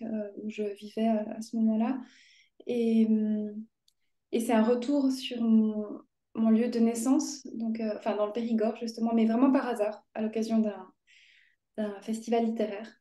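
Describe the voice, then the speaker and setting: A woman's voice: on the high side, warm tone. A woman in her thirties speaking hesitantly and thoughtfully as a novelist guest, with many restarts and fillers. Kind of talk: hesitant reflective talk. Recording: echoey room.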